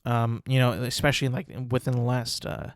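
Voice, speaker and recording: Brief: young male podcast host, stuffy nose, loose rambling delivery full of fillers, mid-pitched voice, dry close sound. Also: completely flat delivery, fairly quick, dry recording. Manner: rambling, many fillers and restarts. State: congested, sniffling. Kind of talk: casual solo podcast talk.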